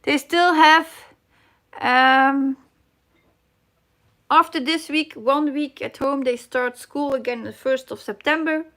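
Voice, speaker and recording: A woman's voice: high voice, takes it slowly, long pauses, fairly bright tone. A middle-aged woman with a Dutch accent hosting a casual live chat, reading comments aloud and searching for her English words. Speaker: cross-stitch live stream host.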